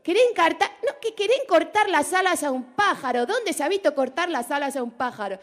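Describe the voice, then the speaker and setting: Woman voicing a nosy chatty porteña, Rioplatense accent, high and swooping, teasing comic monologue. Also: animated. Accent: Rioplatense Spanish put on. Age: 40s. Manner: chatty, teasing, drawn-out vowels.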